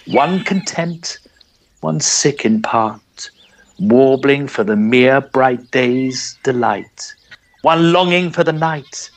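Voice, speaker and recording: A man narrating a poem dramatically, in a measured and expressive storyteller's manner. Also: mid-range voice.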